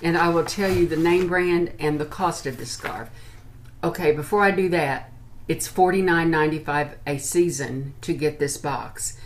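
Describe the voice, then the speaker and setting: A woman's voice: low-pitched, normal speed, a little hiss or hum. An American woman in her sixties chatting informally to camera as she reviews products. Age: 60s. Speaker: beauty and lifestyle vlogger. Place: small furnished room at home, indoors.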